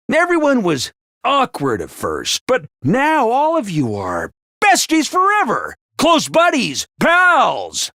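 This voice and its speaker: Very high, bouncy game announcer voice, bright and bubbly, unhinged cheer, dry studio sound. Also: male voice, no echo, exaggerated voice. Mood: upbeat. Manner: bubbly announcements, bursts into gleeful shouting. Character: chipper, unhinged male academy announcer, playful and manic. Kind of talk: video game voice lines.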